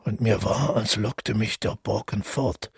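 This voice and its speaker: A man playing a detached killer who calmly recounts his deed in a measured, reflective radio drama monologue, with a gravelly voice. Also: on the low side, no echo, studio quality, full character voice. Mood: haunted. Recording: music playing under the voice.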